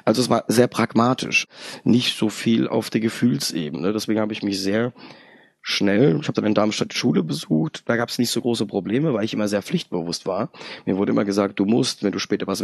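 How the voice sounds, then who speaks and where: Low gravelly man in his thirties. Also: no room sound, clean studio sound. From Germany. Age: 30s. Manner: reflective, often adds tag questions. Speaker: podcast guest. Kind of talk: personal story in an interview.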